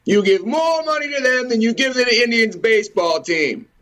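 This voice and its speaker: A man's voice: very high-pitched, expressive, moderate pace, fairly bright tone, rough recording. A man hosting an informal, impassioned commentary, ranting with repetition, rhetorical questions and swearing. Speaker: host of a religious and historical commentary show.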